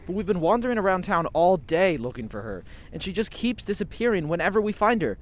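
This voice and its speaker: A man's voice: sits high, expressive, fast talker. An actor playing an eager, bold, sarcastic young man on an investigation in an audio drama, with a wry, urging manner.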